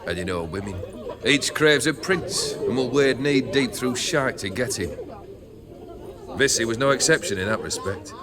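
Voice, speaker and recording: Brief: actor as world-weary noble, languid and mocking, voice rising and falling freely, revelers behind. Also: male voice, mid-range voice, animated, moderate pace, close to an everyday voice. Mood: sardonic. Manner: languid, sardonic, drawling. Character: cursed jaded male noble bandit leader. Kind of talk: game cutscene voice acting. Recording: rowdy crowd of revelers behind.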